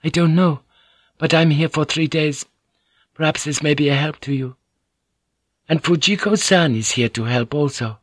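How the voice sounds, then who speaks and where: A man's voice: fairly high pitch, moderate pace, pauses often, dry recording, studio quality, voice pushed up, close to an everyday voice. A man voicing a gracious, soothing noblewoman. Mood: earnest, apologetic. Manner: polite, soothing, earnest. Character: gracious young Japanese noblewoman interpreter, anxious. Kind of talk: audiobook character voice.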